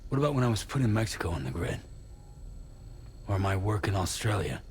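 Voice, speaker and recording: An actor playing a gruff, troubled working man in a video game, plain-spoken and questioning. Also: fairly low pitch, sing-song, brisk, a little hiss or hum. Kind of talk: naturalistic game cutscene dialogue.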